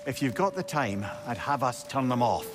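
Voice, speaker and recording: An actor playing a wry, learned old severed-head advisor in a video game, articulate and wordy. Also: male voice, mid-range voice, sing-song, moderate pace, noisy background, natural voice. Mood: explaining, regretful. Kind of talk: dramatic video game acting. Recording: game music under the voice.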